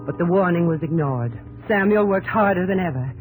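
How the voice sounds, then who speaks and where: An actress playing a gentle young New England woman who tells her story earnestly and with measured delivery in a 1950s radio drama. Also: fairly low pitch, lively intonation, loud music behind the voice, natural voice, poor audio. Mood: earnest, wistful. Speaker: narrator and actress.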